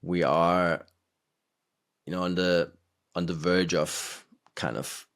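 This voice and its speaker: Very deep-voiced rambling man. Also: steady tone, slow pace, a bit bright, clean tone. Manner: rambling, filler-heavy, laughs between points. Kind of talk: casual conversation.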